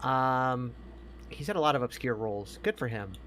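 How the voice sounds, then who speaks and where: A man's voice: medium pitch, expressive, normal speed, fairly bright tone, slight background noise. A man chatting casually about movies as a podcast co-host, emphatic, opinionated and given to swearing.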